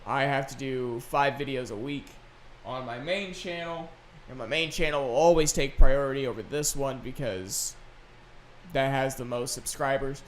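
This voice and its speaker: A man hosting a solo podcast, chatting casually and unscripted in a rambling, halting way. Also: mid-range voice, steady tone, slight background noise. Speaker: podcast host and gaming video creator.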